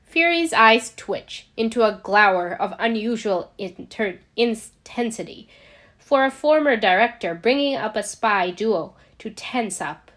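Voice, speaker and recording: A woman's voice: fairly high pitch, lively intonation, a little slow, a bit bright. A woman reading a scripted story aloud as an amateur audiobook narrator. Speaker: amateur audiobook reader of fan fiction.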